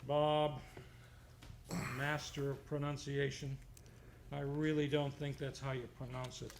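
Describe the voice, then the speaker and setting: A man's voice: mid-range voice, level tone, measured pace. A man hosting a live old-time radio show, announcing from a script in a theatrical, playfully comic way on a theater stage. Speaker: series host and announcer. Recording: clearly noisy live stage recording.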